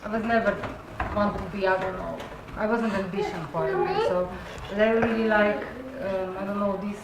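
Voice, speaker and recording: A woman from Slovenia with a Slovenian accent, talking informally at a Q&A in halting non-native English, searching for her words. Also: medium pitch, lively intonation. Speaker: puppet designer and puppeteer. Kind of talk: informal Q&A talk after a show. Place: echoey room at a live Q&A. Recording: roomy, noisy live event recording.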